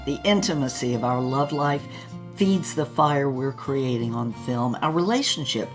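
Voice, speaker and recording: Deep-voiced, theatrical old film star. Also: female voice, animated, moderate pace, music in the background. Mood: passionate. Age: older. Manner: dramatic, savoring, swooping emphasis. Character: passionate, theatrical former movie star grandmother, reminiscing. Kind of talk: scripted audio-drama memoir.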